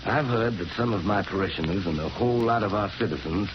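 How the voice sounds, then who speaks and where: Actor playing an earnest low-voiced priest. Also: male voice, quick pace, natural voice. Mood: firm, insistent. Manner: grave, direct, insistent. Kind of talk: 1940s radio drama acting. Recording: muffled, very noisy 1940s radio broadcast.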